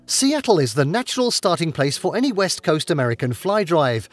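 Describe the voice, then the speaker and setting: A man's voice: fairly high pitch, big pitch swings, fairly quick, soft background music. A man giving scripted travel narration in an upbeat countdown delivery.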